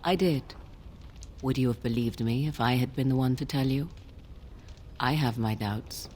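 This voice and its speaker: An actress playing a mysterious, guarded young sorceress in a fantasy game, speaking in a cool, formal, archaic manner. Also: female voice, extremely low pitch, moderate pace, darkish tone, slight background noise. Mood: cryptic, measured. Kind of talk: fantasy video game dialogue.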